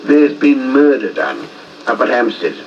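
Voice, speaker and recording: An actor playing a brisk, affable Scotland Yard inspector with an English accent and clipped delivery in a 1950s radio drama. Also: male voice, medium pitch, big pitch swings. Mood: matter-of-fact. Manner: clipped, matter-of-fact, hearty. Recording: muffled, hissy 1950s radio recording, distant.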